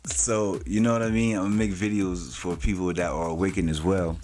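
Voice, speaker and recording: A man's voice: low-pitched, steady tone, brisk, darkish tone, faint music behind. A man in his late forties talking in a loose, slangy way, with plenty of "you know" and casual swearing, as host of his own vlog. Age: 40s. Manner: loose and slangy, swears freely, filler-heavy. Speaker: channel host.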